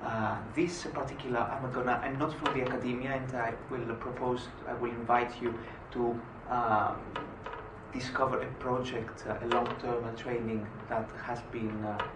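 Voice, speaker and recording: A man from Greece giving a conference presentation, pausing often with "uh" between phrases. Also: medium pitch, flat delivery. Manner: frequent "uh" hesitations. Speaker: conference speaker. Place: conference hall. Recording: echoey hall, distant mic, noisy.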